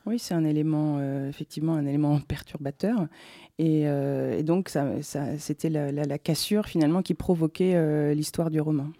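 A woman being interviewed as a novelist, telling their story conversationally in a hesitant, halting way. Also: low-pitched, fairly even intonation, dark tone, dry recording, studio quality. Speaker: novelist interviewed about their first book.

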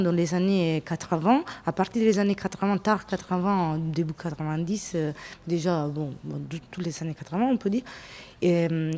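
Italian woman on a panel, accent, kinda low voice, keeps searching for words. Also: mellow. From Italy. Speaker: anthropologist, panel guest. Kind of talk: spoken panel discussion, explanatory.

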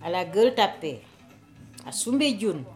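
An older woman from Senegal, slowly recalling her life in an interview. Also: mid-range voice, very animated, music in the background. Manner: slow, reflective storytelling.

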